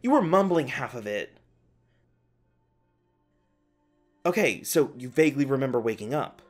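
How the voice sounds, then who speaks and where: Animated, snarky captive scientist, acted out. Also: mid-range voice, a little fast, soft background music. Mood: wry, puzzled. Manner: sarcastic, testy, swearing, casual. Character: snarky, exasperated scientist held captive, arguing with cellmate. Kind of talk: ASMR audio roleplay, one-sided dialogue.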